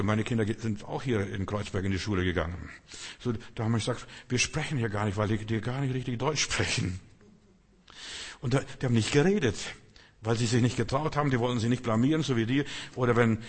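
Guy preaching, german's not his first language, kinda husky, big echoey church hall, keeps asking "verstehst du?". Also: mid-range voice. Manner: conversational preaching, frequent "verstehst du?" asides. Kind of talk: sermon.

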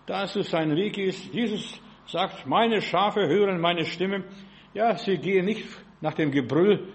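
Elderly male preacher, fairly high-pitched voice. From former Soviet Union. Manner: exhorting, repetitive, frequent "ja" tags. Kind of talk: sermon.

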